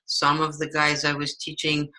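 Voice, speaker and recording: A middle-aged woman who teaches English as a second language, answering interview questions in a measured, explanatory way. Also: low-pitched, completely flat delivery, sharp tone, poor audio. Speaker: ESL teacher.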